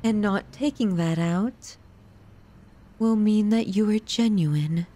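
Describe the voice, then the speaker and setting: A woman playing an obsessive, possessive yandere lover in a dramatic ASMR roleplay, pleading intimately and haltingly with the listener. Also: medium pitch, warm tone, close to an everyday voice. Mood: sombre, resigned.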